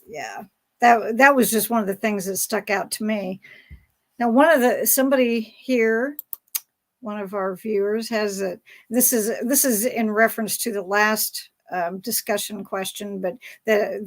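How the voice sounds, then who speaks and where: A woman hosts a fan panel in a mid-pitched voice. She speaks conversationally, guiding the discussion with loose, hesitant phrasing. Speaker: panel moderator.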